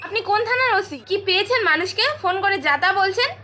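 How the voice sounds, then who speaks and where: A woman, acting in comedy phone-call skits in an exasperated, expressive way. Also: very high voice, fast talker, very noisy. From North Bengal, India. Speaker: comedy video creator.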